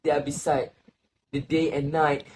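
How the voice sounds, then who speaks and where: Man acting as a solemn old grandfather, lightly pitched. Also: level tone, moderate pace, a little mellow, lightly acted. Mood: grave, reflective. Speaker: student actor. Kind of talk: literary stage play acting. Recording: faint background noise.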